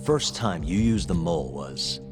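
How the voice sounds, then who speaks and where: A man's voice: deep voice, expressive, unhurried. An actor playing a tough, cool and sardonic investigator in a video game cutscene, confident and taunting as he presses for answers. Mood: cool interrogation. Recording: dramatic music behind the voice. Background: background music.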